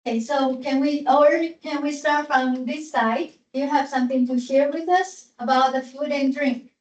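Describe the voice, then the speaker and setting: A woman's voice: sits high, fairly even intonation, moderate pace, darkish tone. A woman teacher giving classroom instructions with many fillers and repeated words. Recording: echoey classroom from far off, dull.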